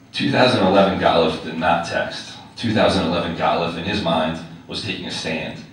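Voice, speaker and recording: Deep voiced teacher guy reading his essay out loud, wry, chatty, talks right to the crowd, big echoey room heard from far off. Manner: wry, conversational, asks the audience direct questions. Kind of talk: reading a personal essay aloud to a live audience. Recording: very echoey hall, distant mic, faint noise.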